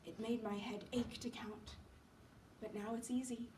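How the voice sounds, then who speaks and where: A woman's voice: on the high side, little pitch movement, measured pace, dark tone, lightly acted. Woman playing a frail, faint, sick young woman. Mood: faint, listless. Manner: weary, faint, trailing off. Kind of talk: solo dramatic storytelling performance. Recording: echoey room, distant mic, background noise.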